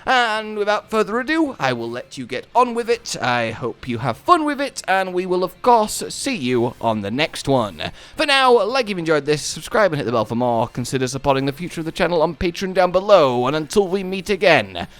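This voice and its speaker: A male gaming video host with a fairly high voice walks listeners through a game guide. His delivery is chatty and very animated, leaning hard on key words and stretching them for emphasis. The style is casual but planned out, like a practised tutorial narration. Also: normal speed, few pauses.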